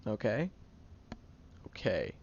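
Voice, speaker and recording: A man's voice: on the low side, wildly swooping pitch, takes it slowly, darkish tone, highs cut off, exaggerated voice. A man playing a prickly, defensive young man who is sarcastic but secretly caring, in an audio roleplay spoken to the listener. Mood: irritated, defensive. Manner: gruff, sarcastic, flustered tsundere bickering.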